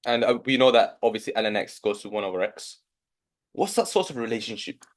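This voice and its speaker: A young man with a Yorkshire accent teaching a live online maths lesson, coaxing his students along with steady encouragement. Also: medium pitch, brisk. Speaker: maths tutor.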